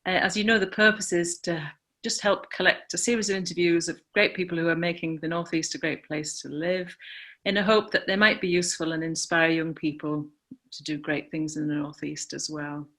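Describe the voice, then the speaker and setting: A woman interviewer with a fairly low voice opens the talk. She is polite and a little hesitant, and her questions are broken up by ums. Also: steady tone, fairly quick, a bit bright.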